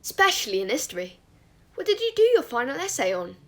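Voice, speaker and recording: A woman acts out a cheerful teenage schoolboy in a storybook. The voice is lifted high and lands loud and bright with eagerness, relentlessly upbeat and keen to chat. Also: big pitch swings, quick pace. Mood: eager, chirpy. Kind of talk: acted audiobook dialogue.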